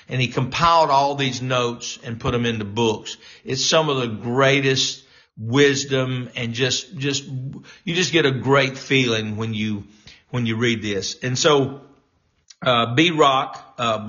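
A man with a bright, forceful voice talks like a pastor to his live-stream audience, starting over and filling gaps with "uh" as he goes. He sounds encouraging and a little preachy. The sound is dull and lo-fi. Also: mid-range voice. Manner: halting, many fillers and restarts. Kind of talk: casual live-stream talk.

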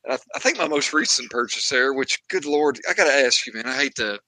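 Male podcast interviewer, very sharp bright voice, dry sound, casual chat. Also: medium pitch, lively intonation, quick pace, no room sound.

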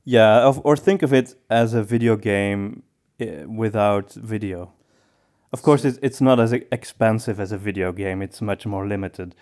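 A man's voice: lowish voice, moderate pace, a little mellow. A man hosting a podcast, talking casually in a hesitant, conversational way. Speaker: podcast host.

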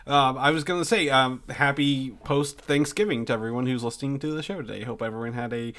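A man's voice: mid-range voice, fairly quick, fairly bright tone. Male podcast host, congested, chatty and rambling. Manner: rambling with many fillers and restarts. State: congested with flu. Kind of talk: casual podcast chat.

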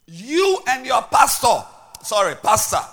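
Slow, lively-voiced Ghanaian preacher man. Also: very high voice. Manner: emphatic, swinging between loud declarations and quiet asides; repeats words as he restarts. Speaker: pastor. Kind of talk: sermon.

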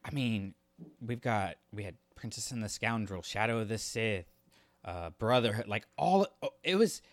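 A man's voice: on the low side, expressive, moderate pace, dry recording. A man co-hosting a podcast, talking through a story in a casual, conversational and explanatory way. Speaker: podcast co-host. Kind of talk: casual book discussion and recap.

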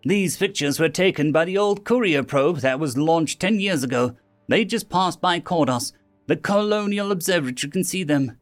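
Male narrator as alien soldier, agitated, urgent, emphatic, raised pitch, music behind. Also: moderate pace, hardly any pauses, a little mellow, close to an everyday voice.

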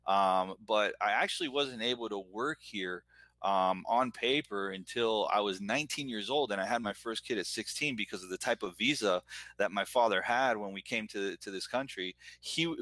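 Forceful, earnest American man, close dry sound. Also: lowish voice, moderate pace, no echo. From Florida, United States. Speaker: guest, entrepreneur and business owner. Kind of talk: casual chat, personal reflection.

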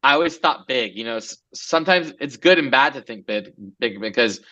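A man's voice: medium pitch, expressive, normal speed, sharp tone. A man in his forties from Los Angeles, a guest entrepreneur chatting casually in an interview, loose and rambling with many fillers.

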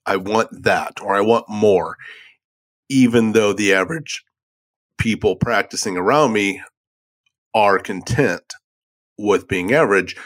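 A man co-hosting a podcast, with a deep baritone voice and a dry, joking way of talking. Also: measured pace, pauses often. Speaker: podcast co-host, coach. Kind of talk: casual podcast chat.

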